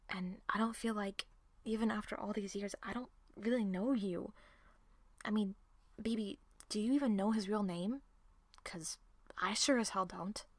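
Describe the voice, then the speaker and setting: A woman playing a distressed, guilt-ridden young woman in an audio drama, speaking haltingly and emotionally. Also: mid-range voice, steady tone, no echo, close to an everyday voice. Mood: quiet, earnest, guilty. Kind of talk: audio roleplay drama acting.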